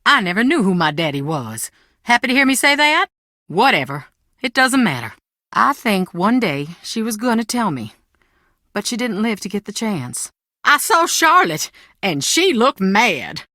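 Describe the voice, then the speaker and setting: A woman's voice: mid-range voice, unhurried, no echo, clean studio sound. An actress playing a proud, anxious middle-aged mother who is defensive and theatrical, in a video game. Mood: bitter, shaken. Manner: defensive, theatrical, emotionally expressive. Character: proud, anxious Southern mother guarding family secrets.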